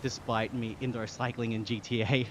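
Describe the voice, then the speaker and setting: Casual male streamer, Australian accent, mid-range voice, chatty and slangy, talking to chat over clear background noise. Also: mid-range voice, level tone, normal speed. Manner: chatty, slangy, reading chat aloud, thanking viewers. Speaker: live streamer.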